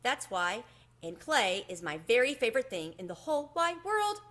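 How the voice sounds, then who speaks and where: A woman reading a children's book aloud expressively, in an animated storytelling manner. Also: fairly high pitch, normal speed. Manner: animated, acts out the dialogue.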